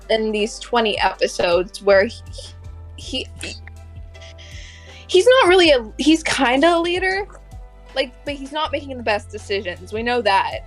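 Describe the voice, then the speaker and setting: A woman co-hosting a podcast, talking casually as a fan in a halting way that circles back mid-thought. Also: fairly high pitch. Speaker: podcast co-host. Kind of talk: casual fan discussion of an animated series.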